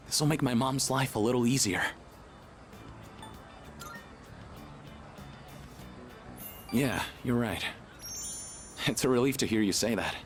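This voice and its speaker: An actor playing a casual, chatty, sincere teenage student athlete in a video game. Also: male voice, mid-range voice, vocal fry. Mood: hopeful, earnest.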